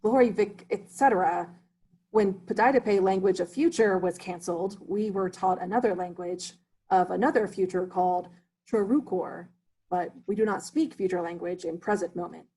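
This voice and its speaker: Man reading stiff, erudite immigrant teacher, breathy, formal, no articles. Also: high voice, a little mellow, low-quality recording. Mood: earnest. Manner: formal, drops articles, precise and measured. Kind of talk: amateur play reading.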